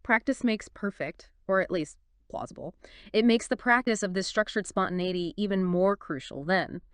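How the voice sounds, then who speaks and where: A woman's voice: mid-range voice, normal speed, clear, resonant tone, no echo, clean studio sound. A woman co-hosting a conversational explainer podcast, engaged and emphatic, with punchy phrasing. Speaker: co-host of an explainer discussion.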